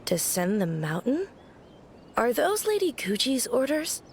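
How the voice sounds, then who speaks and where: A woman's voice: on the high side, very animated, measured pace, slight background noise. A woman voicing a courteous, earnest young shrine maiden in a video game. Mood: polite, earnest. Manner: polite, earnest. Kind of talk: English video game voice acting.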